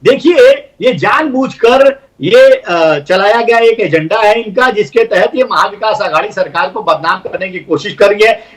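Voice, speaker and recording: A man with a strikingly high voice presses his point with rhetorical questions and rising force. He sounds set back from the microphone, as over a remote link. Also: unhurried, hardly any pauses, distant mic, poor audio. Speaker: political panelist. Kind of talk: heated TV debate argument.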